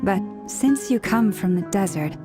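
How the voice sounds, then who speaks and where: A woman voicing a gentle, polite, perceptive young companion in a video game, soft-spoken and calm. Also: mid-range voice, unhurried, lightly acted. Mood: calm, curious. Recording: music playing behind the voice.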